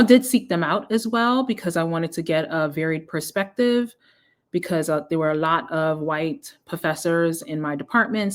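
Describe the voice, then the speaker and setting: A woman in her thirties, from Jamaica, talks in a somewhat low voice. She chats loosely to camera as she walks through research findings. She often stops to fix her own words and laughs at her slips. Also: a bit bright. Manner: chatty, self-correcting, laughs at herself. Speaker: education research channel host. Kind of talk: casual solo vlog talk reading research abstracts.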